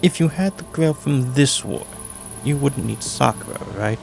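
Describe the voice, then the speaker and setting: A man's voice: medium pitch, lively intonation, moderate pace, vocal fry, faint music behind. A man playing an earnest, desperate protector of a child in a comic anime parody. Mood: grimly determined.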